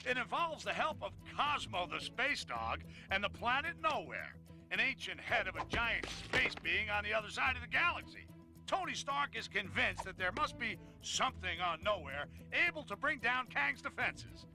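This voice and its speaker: High-voiced game reporter, lively, brash rant. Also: male voice, moderate pace, faint music behind, full character voice. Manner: brash, ranting broadcast patter. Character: excitable male news reporter, cynical. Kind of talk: video game cutscene acting.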